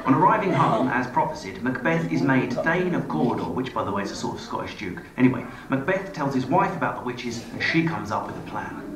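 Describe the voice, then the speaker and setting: A man's voice: mid-range voice, sing-song, brisk, few pauses. A man delivering comic scripted narration in a wry, playful manner. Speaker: comedian and presenter. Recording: roomy, distant playback with loud crowd noise.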